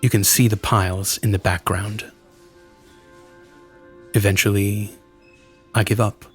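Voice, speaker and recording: Slow, low-voiced man narrating grim horror. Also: flat delivery, breathy edge. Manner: grim, numb, deliberate short phrases with pauses. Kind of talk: scripted first-person horror fiction narration. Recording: prominent music behind the voice. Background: music.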